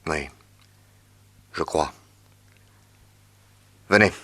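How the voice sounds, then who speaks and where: Radio-drama detective, middle-aged, very low voice, measured, grave, shrewd. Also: male voice, bright, forceful tone, heavily acted. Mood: explaining. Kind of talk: 1940s radio acting.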